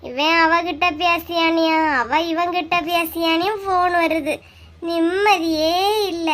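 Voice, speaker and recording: A woman voicing an excitable, bickering village woman in a comic cartoon, exclaiming and complaining in Kanyakumari Tamil slang. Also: extremely high pitch, takes it slowly, heavily acted. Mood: aggrieved.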